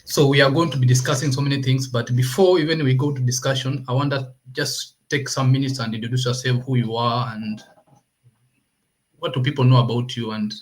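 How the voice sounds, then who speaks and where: Halting Kenyan man hosting. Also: mid-range voice, fairly even intonation. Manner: halting, repeats himself. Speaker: host of an online talk series. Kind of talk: informal interview hosting.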